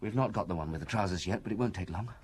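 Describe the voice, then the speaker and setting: A dutiful young police inspector. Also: male voice, low-pitched, a little mellow, husky. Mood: businesslike. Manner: brisk, deferential reporting. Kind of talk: classic radio drama acting.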